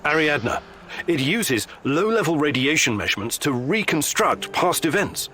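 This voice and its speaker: An actor playing an earnest, determined male scientist in a video game, speaking in a serious, measured, explanatory manner. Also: on the high side, big pitch swings, sharp tone, faint music behind. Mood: patient, explanatory. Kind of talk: video game character acting.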